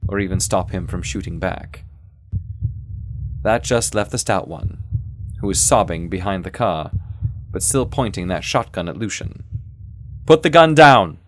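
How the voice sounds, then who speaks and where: A man reading a scripted first-person story in a measured storytelling manner. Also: low-pitched, slight background noise. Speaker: audio fiction narrator.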